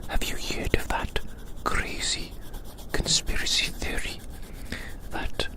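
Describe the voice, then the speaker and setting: A man speaks entirely in a breathy whisper, as in an ASMR roleplay. His manner is gentle and soothing, like a friendly caretaker. He takes his time and softly repeats short phrases. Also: takes it slowly. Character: gentle giant human befriending a little creature. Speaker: ASMR performer. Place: outdoors in the grass.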